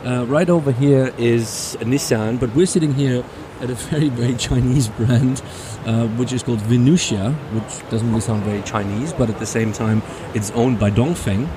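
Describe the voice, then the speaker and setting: A man's voice: medium pitch, normal speed, hardly any pauses. Hesitant man on a noisy show floor. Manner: hesitant, lots of fillers and repeats. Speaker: car show reviewer. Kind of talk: casual walkaround chat. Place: busy motor show hall.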